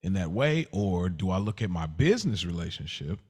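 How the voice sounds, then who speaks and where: A man's voice: sits low, sing-song, brisk, darkish tone, slightly rough, in a large hall. An American man co-hosting a podcast, reflecting candidly and hesitantly as he searches for his words. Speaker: podcast co-host.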